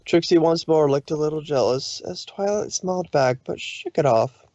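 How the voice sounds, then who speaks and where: A man's voice: a little high, moderate pace, mellow, dry recording, lo-fi sound. A man narrating a fan fiction story aloud in an informal read-through.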